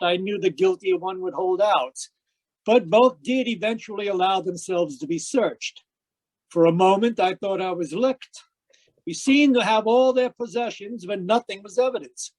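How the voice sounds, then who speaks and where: An actor playing a calm, courteous police inspector in an old-time radio mystery, speaking with measured authority. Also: mid-range voice, natural voice, rough recording. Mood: quietly triumphant.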